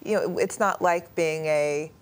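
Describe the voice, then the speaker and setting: A woman moderating a panel talk, chatty and animated, who laughs often. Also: low-pitched, measured pace, clean tone.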